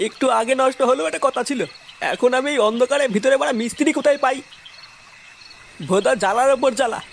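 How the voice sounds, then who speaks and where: A grumbling cartoon village fellow, voiced by a woman, speaks high and very fast. He curses freely and spooks easily, over clearly audible background noise. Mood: irritated. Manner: cursing, stammering when scared. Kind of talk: cartoon voice acting.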